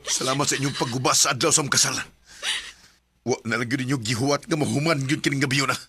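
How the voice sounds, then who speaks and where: A man's voice: mid-range voice, fairly even intonation, quick pace, a little hiss or hum. A man voicing a devoted young man in a radio drama, earnest and firm with his family. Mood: defiant. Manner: earnest, soft-spoken in love scenes.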